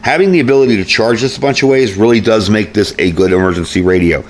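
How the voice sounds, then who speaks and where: A man's voice: fairly low pitch, quick pace. A man giving a casual, chatty product review with informal, folksy phrasing. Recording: clear background noise.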